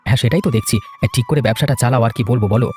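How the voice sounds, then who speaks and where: A man's voice: medium pitch, rapid-fire, darkish tone, soft background music, close to an everyday voice. A man voicing a supportive, plain-spoken village husband in a cartoon, chatty and encouraging. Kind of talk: cartoon dubbing.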